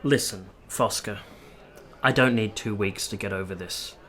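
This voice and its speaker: Male actor as young officer, lowish voice, earnest, tender, measured literary phrasing, period radio play. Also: fairly bright tone. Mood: earnest, gentle. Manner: measured, sincere, literary delivery.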